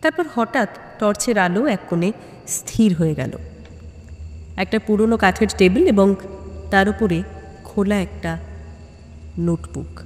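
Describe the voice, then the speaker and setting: Female storyteller, mid-pitched, for dramatic thriller narration with measured phrases and pauses, drenched in long reverb. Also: expressive, a little mellow, soft background music.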